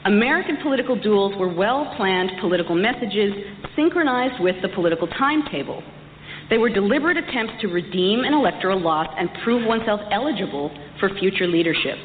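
A woman's voice: mid-range voice, animated, fairly quick. A woman giving a prepared history lecture, speaking articulately and emphatically with a lightly wry touch. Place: large reverberant hall. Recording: echoey hall, muffled, some background noise.

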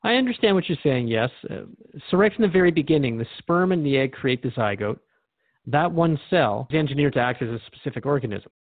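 A man acts a male radio interviewer in a drama, thoughtful and mildly skeptical. He speaks at a middling pitch in a reflective, questioning manner. The recording is dry, with a narrow telephone-like sound. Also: animated, a little fast, dry recording, close to an everyday voice. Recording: narrow phone-like band, dry.

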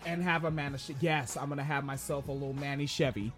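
A man with a high-pitched voice, casually co-hosting a live-streamed podcast, rambling, swearing and joking. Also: steady tone, fast talker, a bit bright, soft background music. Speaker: podcast co-host.

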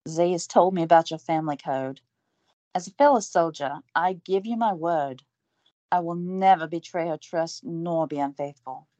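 A woman's voice: on the low side, normal speed, dark tone, no echo, natural voice. An actress playing an earnest young woman reporter who is also an army medic, asking questions. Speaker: interviewer. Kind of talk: audio drama acting. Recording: dull lo-fi recording.

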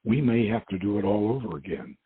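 Man reading as an uneasy woman adventurer, husky. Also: on the low side, brisk. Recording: muffled phone-like line, roomy echo.

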